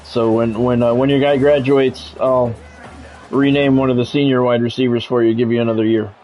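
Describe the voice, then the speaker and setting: An American man doing live play-by-play of a video game football match in a measured announcer style, mixed with casual chat to stream viewers. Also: medium pitch, level tone. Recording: dull lo-fi sound with music behind.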